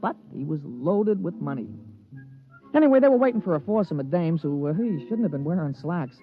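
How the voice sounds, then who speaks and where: An actor playing a chatty, opinionated golf caddy in a vintage radio drama, with folksy, colloquial talk. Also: male voice, fairly high pitch, big pitch swings, brisk, faint music behind. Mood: chatty, wry. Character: chatty, opinionated male golf caddy, plain-spoken storyteller. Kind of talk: 1950s radio drama narration and dialogue. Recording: muffled vintage radio recording.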